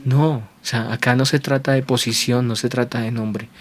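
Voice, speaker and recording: A man's voice: mid-range voice, fairly even intonation, moderate pace. A man preaching a sermon to viewers at home, earnest and repeating phrases as he builds his points. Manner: earnest, checks in with repeated rhetorical questions.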